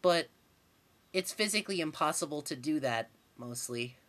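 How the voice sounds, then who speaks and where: A woman voicing a meek, politely apologetic young male troll, halting and unsure, in an amateur fandub. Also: sits low, animated, a bit bright. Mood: timid. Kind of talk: amateur fandub audition acting.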